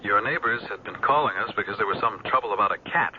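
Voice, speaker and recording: An actor playing a measured, polite police detective in a 1970s radio drama. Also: male voice, on the low side, lightly acted. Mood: polite, cautious. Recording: muffled, faintly noisy old radio broadcast.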